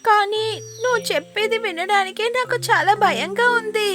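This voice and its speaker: A woman's voice: very high voice, normal speed, over music. A woman voicing a playful, hungry, wary young calf in a children's cartoon, childlike and exclamatory. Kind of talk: animated children's cartoon dubbing.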